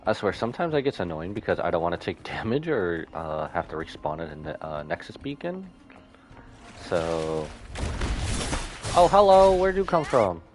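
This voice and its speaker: Low-voiced man streaming a game, very animated pitch. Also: normal speed, faint music behind. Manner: thinks aloud, mutters to himself. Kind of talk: live gameplay commentary, casual chat.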